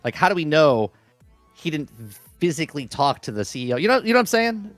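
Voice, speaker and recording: A man's voice: fairly high pitch, sing-song, quick pace, no echo, soft background music. A man guesting on a gaming podcast, talking casually with rhetorical questions and stop-start phrasing. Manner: hesitant, many fillers and restarts, rhetorical questions. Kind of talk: casual gaming news chat.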